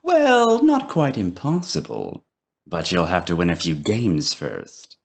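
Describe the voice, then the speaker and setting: A man's voice: low-pitched, huge pitch swings, slow pace, gravelly, exaggerated voice. An actor playing a sinister, playful immortal toymaker in an audio drama, theatrical and taunting in his mock courtesy. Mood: teasing.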